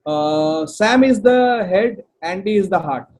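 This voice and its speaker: An Indian man, a brand consultant guest, talking in rapid bursts with stammers and Hindi mixed into his English. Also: high-pitched, lively intonation, a little mellow. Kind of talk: casual video interview.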